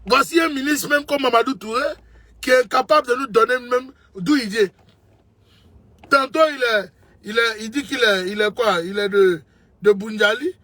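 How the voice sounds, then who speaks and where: High-voiced, emphatic man. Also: moderate pace, sharp tone, no echo. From Côte d'Ivoire. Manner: emphatic, repeats phrases, addresses listener as brother. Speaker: political commentator. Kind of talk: impassioned political monologue.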